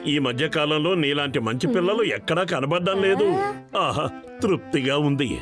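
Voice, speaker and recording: A reckless, greedy cartoon man acted by a man with a slightly high voice. He is bombastic and excitable, speaking over loud music. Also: moderate pace, natural voice. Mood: greedy, delighted. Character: reckless, boastful, food-loving adult man, cartoon hero. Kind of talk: exaggerated cartoon dub acting.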